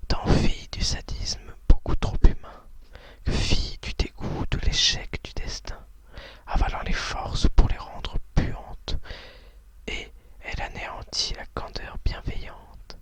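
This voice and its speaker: Female, ASMR host, breathy whisper, slow pace, soft hesitant delivery. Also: no room sound. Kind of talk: ASMR, whispered talk and poetry reading.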